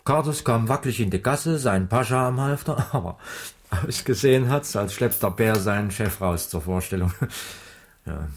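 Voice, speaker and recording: An actor playing an easygoing, chatty circus colleague in a radio drama, talking casually. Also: male voice, on the low side, moderate pace, close to an everyday voice. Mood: amused, chatty. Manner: casual, colloquial. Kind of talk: 1980s radio drama dialogue.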